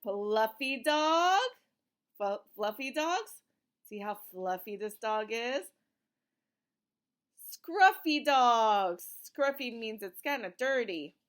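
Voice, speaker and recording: High-pitched woman reading to young children. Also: animated, takes it slowly, pauses often. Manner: encouraging, sing-song, asks questions and praises listeners like a preschool teacher. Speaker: children's read-aloud host, teacher-like. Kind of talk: interactive picture-book read-aloud for young children.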